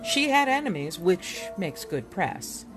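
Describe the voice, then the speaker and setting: A woman with a slightly low voice reads aloud at a very slow, deliberate pace. Her delivery is expressive and dramatic, in the style of a narrated book presentation. Music plays clearly behind her. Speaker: reader presenting a book chapter.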